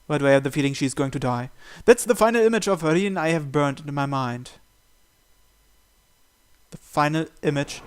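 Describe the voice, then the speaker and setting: A person reading a visual novel aloud as a gamer, narration and dialogue alike, with game music behind. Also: female voice, sits low.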